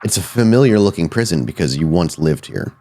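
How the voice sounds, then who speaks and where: A man working as game master, improvising suspenseful, deliberate narration for a horror tabletop roleplaying game. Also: low voice, animated, mellow. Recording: music behind the voice. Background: background music.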